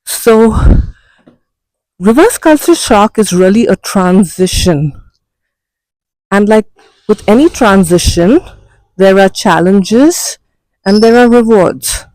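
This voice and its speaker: Slow-spoken woman with Indian accent. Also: on the low side, darkish tone, no room sound, poor audio. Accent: Indian English. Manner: measured, unhurried explaining. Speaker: vlogger and coach. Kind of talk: walk-and-talk vlog. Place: walking outdoors on city streets.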